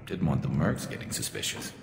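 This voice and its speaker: A man voicing a wry male alien sniper vigilante in a video game cutscene, speaking in a deadpan, dry way. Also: extremely low pitch, fairly quick, gravelly, full character voice. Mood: calm. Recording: reverberant game mix with score.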